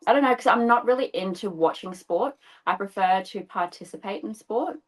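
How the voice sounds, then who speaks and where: A woman's voice: mid-range voice, brisk, poor audio. A woman in her thirties, a podcast guest chatting casually over a video call, laughing often and explaining things patiently. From Australia. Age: 30s. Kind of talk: casual interview chat.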